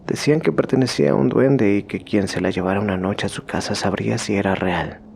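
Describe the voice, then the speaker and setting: A man reading out a horror story in slow, hushed scripted narration that sometimes sinks to a whisper. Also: fairly low pitch, creaky, a little hiss or hum.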